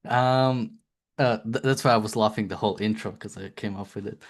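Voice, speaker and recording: Low-voiced joking male co-host. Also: fairly even intonation. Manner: joking, halting, many fillers and restarts. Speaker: podcast co-host. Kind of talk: casual political commentary.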